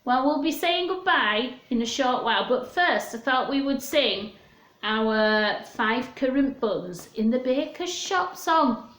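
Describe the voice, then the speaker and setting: A woman's voice: high-pitched, lively intonation, slow, fairly bright tone, roomy sound. A woman reading a story aloud to young children, speaking simply and expressively to her listeners.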